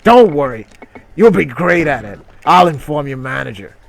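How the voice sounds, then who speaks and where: A man's voice: fairly high pitch, big pitch swings, moderate pace, creaky. A man voicing a smug, sleazy media boss with a pushy, smarmy manner, in a comic story reading. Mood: brash, smug.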